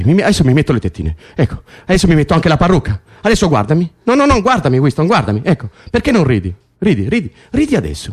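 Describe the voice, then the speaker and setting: An actor playing an earnest, idealistic political prisoner in a theatrical radio drama, persuading insistently and urgently with repeated phrases. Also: male voice, mid-range voice, a little mellow.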